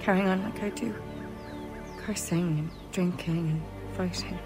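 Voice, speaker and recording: A woman playing a grieving, rough-living young pirate, speaking plainly and wistfully in a dramatic video game. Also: on the low side, fairly even intonation, a little slow, over music, natural voice. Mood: grieving, self-reproachful.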